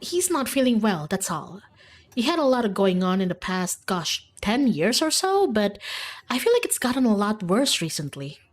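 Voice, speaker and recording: Woman plays breezy young small-town gal in a visual novel, chatty monster-hunting enthusiast, very animated. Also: mid-range voice, moderate pace. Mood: eager, friendly.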